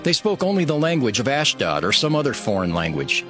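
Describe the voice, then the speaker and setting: A man's voice: medium pitch, wildly swooping pitch, fairly quick. A man playing a stern, devout ancient governor, narrating his reforms in an earnest, emphatic, solemn dramatized scripture style. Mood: stern, resolute. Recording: music bed behind the voice. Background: music.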